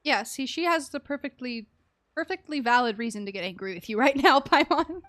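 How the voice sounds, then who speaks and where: A woman's voice: high-pitched, animated, a little fast, a bit bright. A woman giving casual, sympathetic live commentary as she streams a game, chatty and giggly, using pet names.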